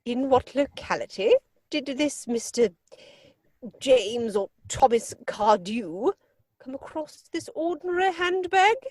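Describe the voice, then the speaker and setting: A woman's voice: high-pitched, lively intonation, slow pace, darkish tone, no echo, full character voice. A woman playing an imperious, snobbish older upper-class lady in a theatrical comedy reading, pompous and disapproving. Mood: scandalised. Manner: pompous, disapproving, crisply emphatic. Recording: dry home video-call recording.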